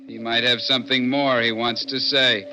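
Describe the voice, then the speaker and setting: An American actor playing a callous, matter-of-fact prison guard in a 1960s radio drama, brisk and offhand. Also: male voice, mid-range voice, flat delivery, natural voice. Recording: muffled old radio, faint music behind.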